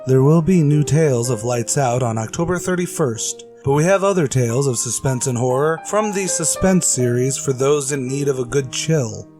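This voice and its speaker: A man's voice: medium pitch, animated, moderate pace, hardly any pauses. A man hosting a radio drama podcast, reading a scripted outro like an old radio announcer. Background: music.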